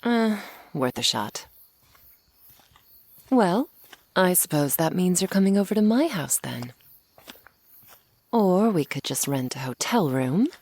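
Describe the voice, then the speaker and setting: Low, creaky, taunting vampire woman, voiced by an actress. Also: very animated, a little mellow, exaggerated voice. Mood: sly, teasing. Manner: sardonic, taunting, unhurried, breaking into whispers. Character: teasing, menacing female vampire, a century old. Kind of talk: intimate ASMR roleplay acting.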